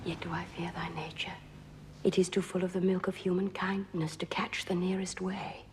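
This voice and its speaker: A woman playing a ruthless, ambitious noblewoman in a theatrical Shakespearean soliloquy, moving between breathy whispers and intense declamation. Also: on the low side, level tone, normal speed, darkish tone, a little hiss or hum, close to an everyday voice. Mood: scheming, hushed.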